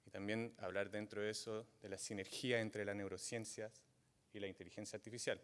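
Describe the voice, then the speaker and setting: A man, not from Chile, giving a university guest lecture, halting often with fillers and restarts. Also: on the low side, level tone, clean tone. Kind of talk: academic lecture. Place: lecture hall. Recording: long reverb of a lecture hall.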